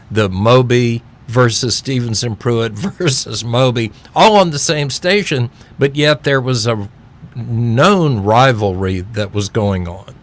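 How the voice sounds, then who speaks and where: A man hosting an interview, feeling out his unscripted questions in halting fragments. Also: mid-range voice. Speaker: interviewer, podcast host.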